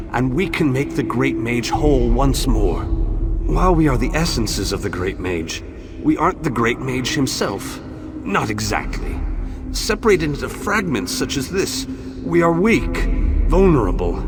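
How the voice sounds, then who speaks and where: Deep, pompous wizard. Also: male voice. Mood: urgent, imploring, then grave, explanatory. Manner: grandiose, formal, theatrical. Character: pompous self-assured male great wizard and his magical essences. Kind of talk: video game character acting. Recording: loud music behind the voice.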